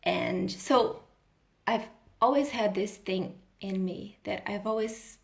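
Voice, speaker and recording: A woman's voice: medium pitch, slow, creaky. A woman in her thirties from Brazil, a healing coach talking casually on a live video and laughing often. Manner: chatty, laughs often, restarts sentences.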